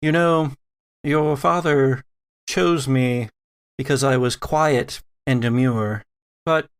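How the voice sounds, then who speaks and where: Man playing a slow, steely grandmother, creaky voice. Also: medium pitch. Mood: measured, steely. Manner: measured, firm, deliberate. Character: quiet, steely ancestral mother and grandmother guarding a lighthouse.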